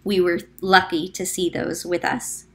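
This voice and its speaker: Woman, classroom read-aloud narrator, bright and forward voice, patient explanatory delivery, close mic. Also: fairly low pitch, little pitch movement. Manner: clear, explanatory, slows down on key facts. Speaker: teacher reading a picture book aloud.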